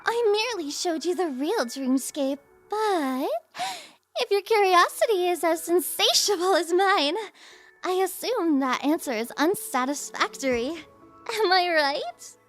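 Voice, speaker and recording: A super high girly trickster, slow teasing asmr roleplay, coy n taunting, music behind. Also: animated, a bit bright, full character voice. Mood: sly, taunting. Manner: teasing, coy, mock-innocent, drawn-out phrasing. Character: playful, taunting young female masked trickster fool. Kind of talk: ASMR audio roleplay, scripted character acting.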